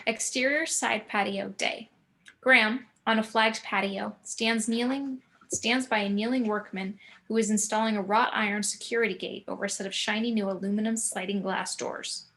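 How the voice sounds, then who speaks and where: Female narrator, mid-pitched, measured delivery of scripted stage directions. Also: fairly even intonation, a bit bright. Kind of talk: reading screenplay action descriptions.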